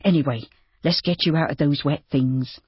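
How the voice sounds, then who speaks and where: A reader voicing a bustling, kindly farmer's wife who is motherly and brisk. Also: medium pitch, breathy edge, dry recording, muffled recording.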